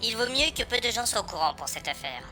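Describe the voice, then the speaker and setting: A woman's voice: on the high side, sing-song, fast, sharp tone, faint music behind. A woman playing a formal, secretive informant. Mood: cool. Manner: formal, clipped, commanding. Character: mysterious informant calling with instructions. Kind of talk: audio drama acting.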